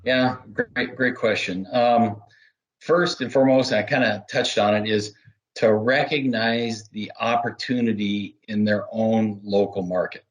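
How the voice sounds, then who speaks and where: A man giving explanatory interview answers as a business development manager, halting at times and laying out points one by one. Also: on the low side, fairly even intonation, low-quality recording.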